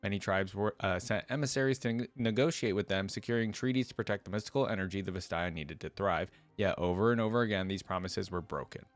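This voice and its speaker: Low-voiced man reading and reacting casually. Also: fast talker, hardly any pauses, no echo. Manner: casual, informal asides between read passages. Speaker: reaction video creator. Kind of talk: reading a fantasy story aloud with casual commentary.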